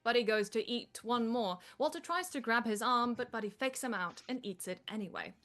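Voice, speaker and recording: A woman's voice: fairly high pitch, a little fast, a bit bright, faint music behind. A woman narrator reading screenplay stage directions aloud.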